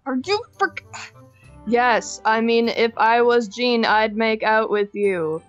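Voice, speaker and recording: A woman's voice: fairly high pitch, takes it slowly, dull audio, faint music behind. A woman voicing a snarky, easily disgusted young woman, sarcastic and grudging, in a comedic fan fiction roleplay.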